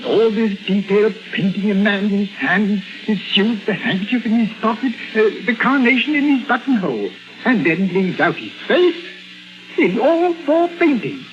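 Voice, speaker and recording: Vintage radio mystery, faint music: earnest, uneasy man, high-pitched, animated, hesitant. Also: normal speed. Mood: uneasy, puzzled. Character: uneasy, polite man puzzling over eerie paintings. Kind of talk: 1950s radio drama acting. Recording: dull vintage 1950s radio recording.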